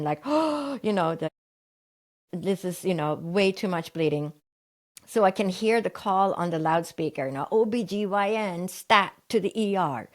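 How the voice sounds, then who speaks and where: A middle-aged woman, raised in Sweden, telling a personal story in an interview and acting out the voices of people she quotes. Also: medium pitch. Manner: animated retelling, acts out quoted voices. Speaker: guest.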